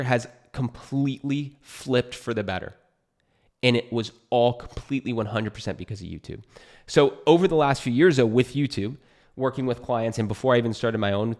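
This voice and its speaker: A young American man speaks in a medium-pitched voice, casual and unscripted. He sounds earnest and conversational, encouraging the listener as an online creator giving advice to a friend would. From California, United States. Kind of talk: casual unscripted talk to camera.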